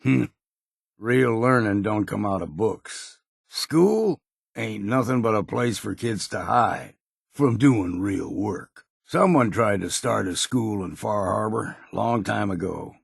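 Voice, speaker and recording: A grizzled old American hunter from a post-apocalyptic video game. His voice is low and thick with gravel, recorded dry and close. He speaks in a blunt, folksy way, gruff and wryly world-weary. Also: lively intonation, slow pace, no echo, heavily acted. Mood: gruff, wary. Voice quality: gravelly, husky. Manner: folksy, plain-spoken, blunt. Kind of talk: video game character voice lines.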